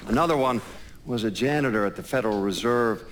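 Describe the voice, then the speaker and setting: An actor playing a matter-of-fact investigator in a game's documentary-style interview, explaining in a measured way. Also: male voice, medium pitch, a little hiss or hum, lightly acted.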